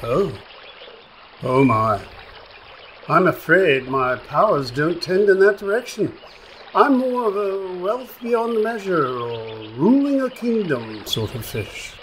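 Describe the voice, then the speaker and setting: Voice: mid-range voice, lively intonation, slow pace, fairly bright tone, a little hiss or hum, low-quality recording. An actor in a radio play voicing a pompous, wordy magic fish who speaks in florid, old-fashioned phrases. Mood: apologetic. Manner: pompous, florid archaic diction.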